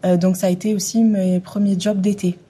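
A woman's voice: mid-range voice, flat delivery, brisk, warm tone, husky. A woman, a hydrotherapist guest answering radio interview questions hesitantly, with many fillers. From France, Occitanie. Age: 20s. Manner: hesitant, many fillers, finishes others' sentences.

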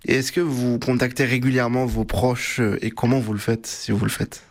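A man's voice: mid-range voice, fairly even intonation, moderate pace. Man asking polite interview questions. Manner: polite, formal questions with fillers. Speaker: interviewer, host.

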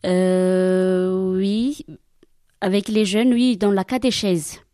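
A woman's voice: medium pitch, steady tone, dark tone, no room sound, studio quality. Hesitant woman, non-native speaker. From Madagascar. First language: Malagasy. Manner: hesitant, many pauses and fillers. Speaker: religious sister and catechist. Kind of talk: interview answers.

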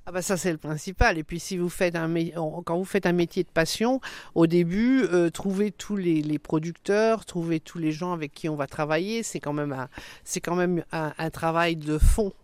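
A woman's voice: lowish voice, normal speed, a little mellow, no room sound. A woman radio reporter interviewing a chef on location, chatty, with rambling questions and fillers.

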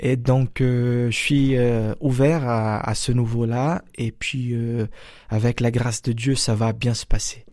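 A man's voice: mid-range voice, fairly even intonation, measured pace, a little mellow, dry recording, studio quality. A man from Brazil, a seminarian, answering interview questions hesitantly with many fillers and restarts. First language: Portuguese. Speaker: seminarian, religious brother.

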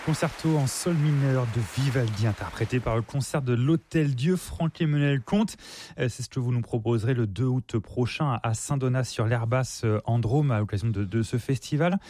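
A male radio host with a dark, mellow tone introduces music and questions his guest. The sound is dry and close to the microphone. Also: medium pitch, moderate pace, no room sound. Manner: announcing, with hesitations. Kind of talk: radio interview.

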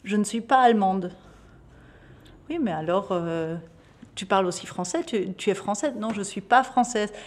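A German-speaking Belgian woman, a native German speaker, answering interview questions in a lively, amused way. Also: medium pitch, normal speed.

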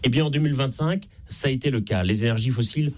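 A man with a Belgian French accent, an author being interviewed on a radio call-in show, explaining in a measured way. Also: mid-range voice. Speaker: author guest.

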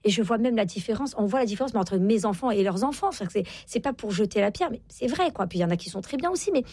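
A woman in her forties with a slightly rough, husky voice gives advice as an expert guest. She talks quickly and insistently, repeating her key points. The sound is dry, with very little room around her. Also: mid-range voice, lively intonation, darkish tone, no echo. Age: 40s. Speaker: guest parenting expert and author. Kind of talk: interview talk, explaining and advising.

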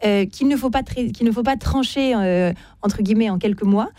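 A woman speaking off the cuff as a radio debate guest, explaining in a measured, somewhat hesitant way. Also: on the high side, dark tone, no room sound. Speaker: debate guest, philosophy teacher.

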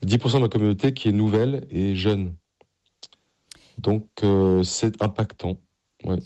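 Middle-aged man, lowish pitch, soft dark timbre, earnest and enthusiastic, conversational priest being interviewed. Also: fairly even intonation, unhurried, dull audio. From Paris, France.

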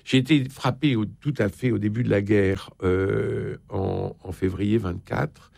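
A man's voice: fairly low pitch, fairly even intonation, measured pace, vocal fry, a little mellow, breathy edge, no room sound. A man hosting a literary interview, talking and reading book passages aloud. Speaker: interviewer, literary show host.

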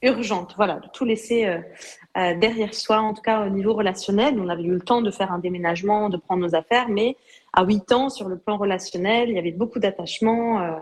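A middle-aged woman from Lebanon, a guest recounting her life slowly and hesitantly, full of fillers and restarts. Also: medium pitch. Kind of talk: personal testimony in an interview.

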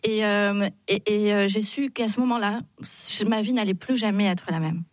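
A woman guest in a radio studio interview, telling a personal story in an animated way and acting out the dialogue she quotes. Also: medium pitch, moderate pace, dry recording. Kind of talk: personal testimony in conversation.

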